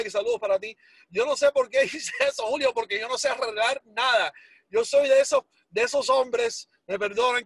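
A man in his forties with a Cuban Spanish accent and a high, husky voice, telling his story with animated, self-mocking humor. English words slip into his Spanish, and the sound is close and dry. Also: no room sound. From Miami, United States. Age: 40s. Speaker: guest. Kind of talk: casual storytelling interview.

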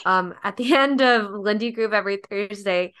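A woman's voice: mid-range voice, moderate pace, a bit bright, clear, resonant tone, no echo. A young woman who is a guest on a podcast, chatting casually, filling her speech with likes and ums and laughing easily. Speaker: podcast guest, dance partner.

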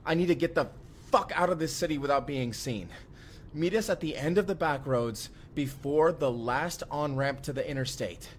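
A man's voice: fairly high pitch, moderate pace, a little hiss or hum, lightly acted. An actor playing a desperate, foul-mouthed young man on the run in an audio drama. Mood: urgent, exasperated. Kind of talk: first-person immersive audio drama acting.

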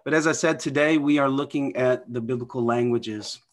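A man's voice: medium pitch, moderate pace. A man hosting an interview podcast, upbeat and affirming, introducing guests and asking questions. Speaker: podcast host.

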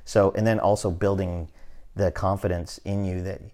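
A man in his fifties hosting a podcast, talking in a relaxed, motivational way and often checking in with "right?". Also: low voice, normal speed. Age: 50s. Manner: conversational, repeats "right?" often, restarts phrases. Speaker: podcast host. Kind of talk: casual motivational chat.

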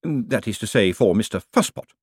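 A man's voice: lowish voice, lively intonation, moderate pace, husky, no room sound, clean studio sound. A man voicing a stiff, pedantic male bank clerk in an audiobook, humming "mm" between formal phrases. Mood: officious. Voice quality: habitual "mm" hum between phrases. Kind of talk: audiobook character dialogue.

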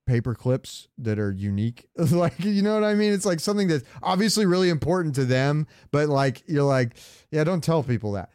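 A man's voice: a little high, a little mellow, smooth, dry recording, studio quality. A man co-hosting a podcast in casual comedic chat, rambling through jokey, sweary stories. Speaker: podcast co-host.

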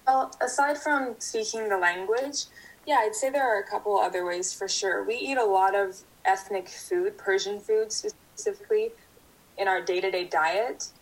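A young woman from Canada, a guest answering interview questions in a steady, thoughtful way. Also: mid-range voice, normal speed, rough recording. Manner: thoughtful, steady, speaks in full sentences.

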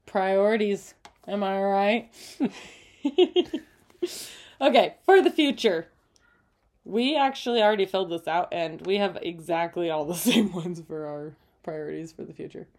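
A young adult woman co-hosting a casual couple's chat, bubbly and quick to laugh or gasp in surprise. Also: mid-range voice, darkish tone. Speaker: podcast co-host.

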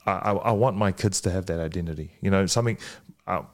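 A man, a guest talking casually with many pauses and restarts. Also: low-pitched. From Fiji and New Zealand. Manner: hesitant, many ums and restarts. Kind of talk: casual conversation.

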